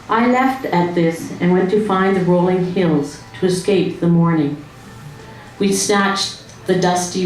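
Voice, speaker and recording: Slow, lowish-voiced woman in very echoey room. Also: a little mellow. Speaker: poetry reader. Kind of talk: poetry reading at a live event. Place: indoor room at a live event. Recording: very echoey room, faint noise.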